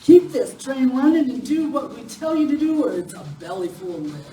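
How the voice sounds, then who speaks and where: A woman's voice: high voice, big pitch swings, fairly quick. A woman playing a taunting, menacing gun-toting outlaw in a western radio play. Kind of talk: old-time western radio play acting. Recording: echoey distant live recording with noise.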